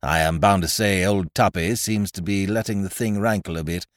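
A man's voice: low voice, normal speed, no echo, studio quality, natural voice. A man voicing a breezy, overfamiliar male scrounger in a story, effusive and cajoling with old-fashioned slangy chumminess. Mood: confiding. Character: breezy male scrounger, wheedling, overfamiliar, falsely hearty chum.

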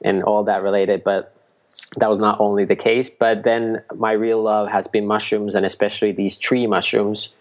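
A man from Finland, his voice low and flat in pitch. He talks as a podcast guest, laying out ideas in a steady, explanatory way. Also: normal speed. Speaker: guest, mushroom food entrepreneur. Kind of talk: casual interview talk.

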